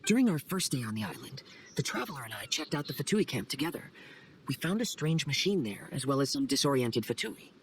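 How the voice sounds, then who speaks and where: A man voicing a calm, observant young wanderer in a video game, speaking gently and thoughtfully. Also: mid-range voice, brisk, a little hiss or hum, natural voice. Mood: calm, pondering.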